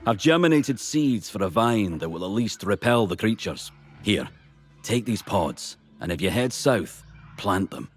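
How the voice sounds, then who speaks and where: A male actor playing an earnest, worried nature healer in a fantasy game, speaking formally and with measured care. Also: fairly low pitch, lively intonation, husky. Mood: earnest, concerned. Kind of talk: scripted fantasy game dialogue.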